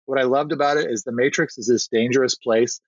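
A man co-hosting a casual movie review chat, animated and mocking, acting out scenes as he talks. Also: mid-range voice, fast, no room sound, muffled recording.

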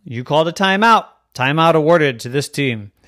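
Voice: medium pitch, lively intonation, moderate pace, fairly bright tone, natural voice. A storyteller voicing a matter-of-fact, officious basketball referee with a firm, declarative manner.

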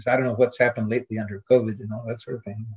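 An older man, a literary scholar, speaking as a guest in a reflective academic conversation, measured and pausing as he considers his points. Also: medium pitch, flat delivery, a little mellow, husky, dull audio.

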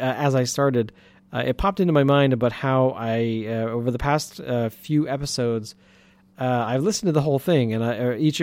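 A man from Canada hosts a solo podcast, talking into a close mic in a loose, rambling way with lots of "uh"s and restarts. He has a light lisp on his S sounds. His voice sits at an ordinary middle pitch, and the room sounds dry and dead. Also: a little mellow, no echo. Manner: rambling, many fillers and restarts. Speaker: podcast host. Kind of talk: casual solo podcast monologue.

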